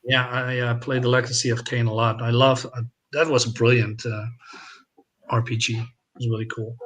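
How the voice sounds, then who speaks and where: A man's voice: mid-range voice, flat delivery, moderate pace, a bit bright. A man chatting casually as a podcast co-host, enthusiastic and swearing freely. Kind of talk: casual chat.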